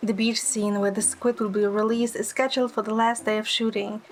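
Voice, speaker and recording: A woman's voice: a little high, flat delivery, brisk, soft background music, natural voice. A woman playing a wry, affectionate young heroine who narrates her own romance in an audio drama. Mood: narrating. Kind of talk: first-person narration and dialogue in audio drama.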